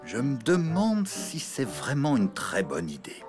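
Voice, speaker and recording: Firm, expressive cartoon dad. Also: male voice, medium pitch, measured pace, over loud music. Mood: doubtful. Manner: admonishing. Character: firm, exasperated elephant father. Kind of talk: French cartoon dubbing.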